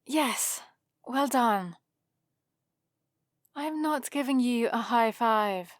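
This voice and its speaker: A woman's voice: fairly high pitch, very slow, dry recording, studio quality. A woman playing a prim, bossy and exasperated vampire librarian in a scripted ASMR roleplay, scolding in a fussy, theatrical way. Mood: annoyed, haughty.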